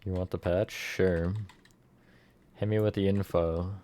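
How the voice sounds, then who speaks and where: A man's voice: low-pitched, steady tone, unhurried, warm tone. A man streamer chatting casually with his viewers, counting blocks and answering chat while he builds.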